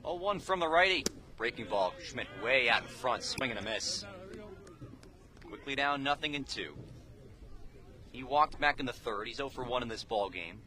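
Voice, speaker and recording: Bright-voiced man calling baseball on radio. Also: mid-range voice, animated, moderate pace. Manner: clipped descriptive phrases. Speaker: baseball play-by-play announcer. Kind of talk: live radio play-by-play commentary. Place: outdoor ballpark, beside the field.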